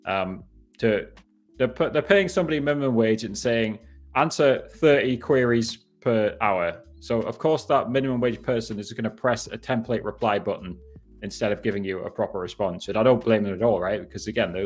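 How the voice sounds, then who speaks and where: Low-voiced northeast English man chatting. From northeast England. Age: 30s. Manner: rambling, self-correcting, laughs often. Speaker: live stream host. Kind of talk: casual live Q&A. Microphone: headphones on.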